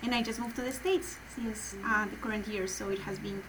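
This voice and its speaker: A woman's voice: medium pitch, fairly even intonation, brisk. Colombian woman, Spanish first language, guest on a podcast, careful and earnest, faint hiss behind her. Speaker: adoption program guest. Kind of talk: podcast interview.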